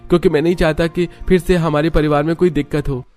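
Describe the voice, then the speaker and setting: A man's voice: a little high, steady tone, quick pace, a little mellow, faint music behind, pitched above the performer's own voice, close to an everyday voice. A man voicing an earnest young husband and father-to-be in a cartoon story. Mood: uneasy, earnest.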